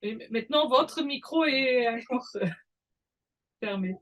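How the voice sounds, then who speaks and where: Slow, halting woman moderator. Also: sits high, a bit bright. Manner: hesitant, halting questions. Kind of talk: panel discussion.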